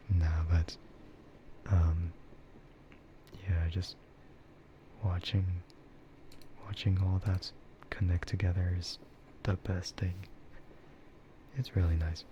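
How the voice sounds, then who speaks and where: Low, soft-spoken man rambling slowly, half whispered. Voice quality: soft, often dropping into a whisper. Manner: rambling, hesitant, easygoing. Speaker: VTuber streamer. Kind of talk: soft ASMR casual chat. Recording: muffled lo-fi audio with clear noise.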